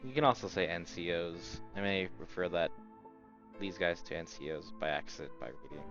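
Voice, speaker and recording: This man has a low voice and reads story narration aloud as a game host. He drops in casual asides and sometimes trips on a word, then corrects himself. Music plays clearly underneath. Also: normal speed, dull audio. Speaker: let's-play host reading a visual novel aloud. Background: game background music.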